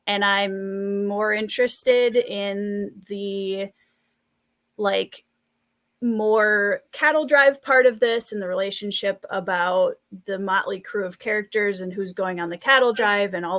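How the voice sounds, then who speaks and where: A woman's voice: mid-range voice, fairly bright tone. A woman on a book discussion panel, giving her opinions casually.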